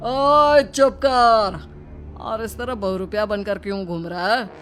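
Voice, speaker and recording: A man's voice: sits high, brisk, soft background music, lightly acted. Man acting a blustering, pushy comic street robber. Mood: threatening.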